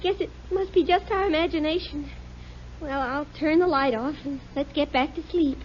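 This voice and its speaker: A woman playing a level-headed, patient young wife in a 1950s radio sitcom, bright and brisk. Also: high-pitched, slight background noise, lightly acted. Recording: dull lo-fi 1950 radio recording.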